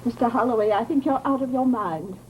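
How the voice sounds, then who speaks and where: An actress in an old-time radio horror drama playing a nervous, chatty neighbour, fluttery, hesitant and emphatic. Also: female voice, on the high side, fast, darkish tone, slight background noise, close to an everyday voice, rough recording. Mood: indignant, disbelieving. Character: nervous, chatty neighbour woman, disbelieving and increasingly frightened.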